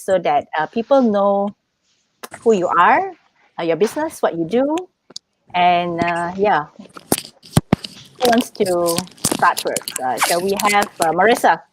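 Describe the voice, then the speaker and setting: A woman's voice: lowish voice, animated, measured pace, slight background noise, rough recording. A woman hosting a casual live-stream podcast launch over a video call, chatty and upbeat. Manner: chatty, upbeat, drops local particles. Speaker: podcast host.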